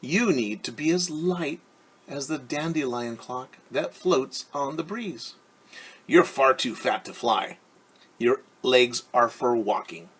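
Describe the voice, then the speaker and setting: A man's voice: mid-range voice, lively intonation, slow, a bit bright, breathy edge, heavily acted. A man voicing a blunt, dismissive little sparrow in a children's storybook, frank and discouraging.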